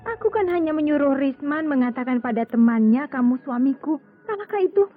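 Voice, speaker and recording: An actress playing a proud, cool and stubborn doctor wife quarrelling in an Indonesian radio drama. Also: female voice, sits high, expressive, fairly quick. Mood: exasperated, cutting. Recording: tinny old radio drama, music behind.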